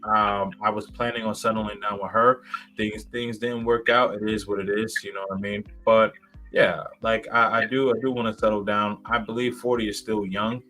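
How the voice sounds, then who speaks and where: A man's voice: fairly low pitch, flat delivery, moderate pace, bright, forceful tone, rough recording. A man in his thirties, a guest in a casual interview, rambling with many fillers and restarts. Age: 30s.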